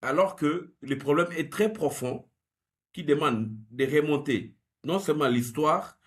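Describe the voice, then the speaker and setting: A man, explaining history in a measured, analytical interview answer. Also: on the high side. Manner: measured and explanatory, mixing French with Lingala. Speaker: guest researcher.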